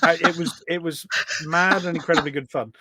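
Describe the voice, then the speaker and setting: A man's voice: on the high side, fairly even intonation, a little fast, bright, forceful tone, a little hiss or hum. A British man telling anecdotes in a casual, enthusiastic, rambling way as a podcast guest. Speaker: podcast guest, voice pack developer. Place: small studio.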